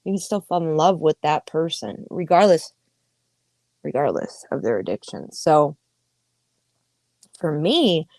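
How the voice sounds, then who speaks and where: A woman's voice: sits low, a little mellow, dry recording. A woman in her thirties hosting a podcast, talking candidly and emphatically in a casual livestream monologue, with frequent swearing. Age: 30s. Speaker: podcast host.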